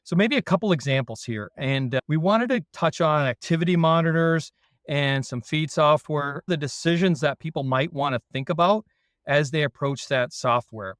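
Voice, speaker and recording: Relaxed Canadian man, dry close sound. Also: on the high side, normal speed, dry recording. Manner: self-deprecating, jokey, relaxed. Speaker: dairy consultant. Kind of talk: casual podcast chat.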